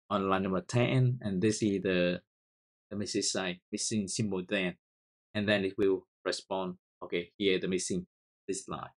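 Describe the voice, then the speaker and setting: A man with a Vietnamese accent, whose first language is Vietnamese, hosts a screen-recorded coding tutorial, talking haltingly and repeating words while he types commands. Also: deep voice. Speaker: software tutorial host.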